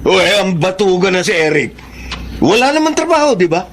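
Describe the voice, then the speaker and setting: A man's voice: high-pitched, very animated, fairly quick, slight background noise. A Filipino man playing a resentful, blaming breadwinner in a Tagalog radio drama. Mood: accusing.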